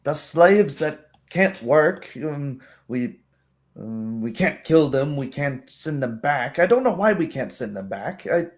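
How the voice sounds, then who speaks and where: A man voicing a wary, weary female kobold in a tabletop roleplay, speaking haltingly with pondering hums. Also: fairly high pitch, very animated. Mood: hesitant, frustrated.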